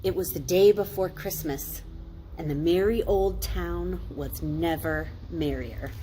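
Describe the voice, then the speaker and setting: An American woman, a pastor, reading a children's story aloud in a deliberate, explanatory way. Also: fairly low pitch, dark tone, low-quality recording. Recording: faint noise, ambient sound.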